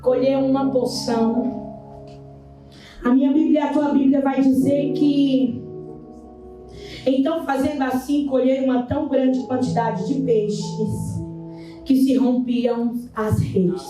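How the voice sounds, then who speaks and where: A hoarse woman with a Brazilian accent preaches in a high, sing-song voice that keeps rising into fervent calls. She is picked up from a distance in a strongly echoing church hall. Loud worship music plays beneath her. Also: fairly even intonation, slow, pauses often. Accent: Brazilian Portuguese. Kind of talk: sermon. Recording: echoey church hall from far off. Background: loud instrumental worship music.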